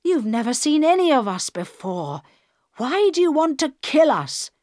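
A woman voicing a middle-aged male passenger and father, speaking low and measured. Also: very animated, darkish tone, no room sound, clean studio sound. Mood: arguing.

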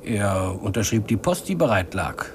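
Precise, resentful bookkeeper, noisy recording. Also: male voice, on the low side, very animated. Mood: measured recollection. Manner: measured, precise, formal. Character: long-serving male bookkeeper, precise and quietly resentful. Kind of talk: 1950s German radio drama acting. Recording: noisy old radio drama recording.

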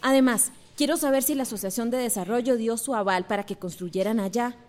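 A determined young schoolteacher with a fairly high voice, played by an actress. She is earnest and insistent, asking questions without backing down. The delivery is radio soap opera acting. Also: brisk, darkish tone, lightly acted.

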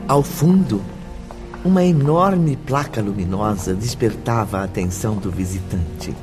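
A man narrating a radio drama in a literary, expressive, scripted manner. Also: lowish voice, unhurried. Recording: music behind the voice.

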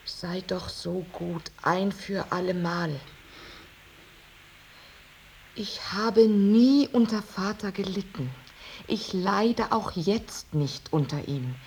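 An earnest, weary daughter of quiet dignity in a radio play. Her medium-pitched voice is deliberate and intense. A light hiss lies over the recording. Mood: firm, devoted. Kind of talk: German radio drama acting. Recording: radio drama with clear tape hiss.

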